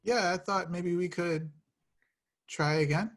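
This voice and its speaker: A man's voice: sits high, unhurried, fairly bright tone, faint music behind. A man playing an earnest, easygoing young hero in a casual film table read, talking in a hesitant, conversational way. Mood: hopeful.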